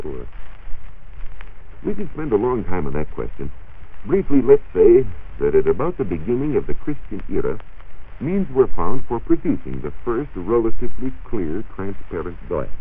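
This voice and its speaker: A very low-voiced American man explains science in a measured, precise manner, with lively swings in pitch. His voice has a husky edge. It comes through a narrow, phone-like 1940s radio recording. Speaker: science reporter answering listener questions. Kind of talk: 1940s radio science talk, partly scripted.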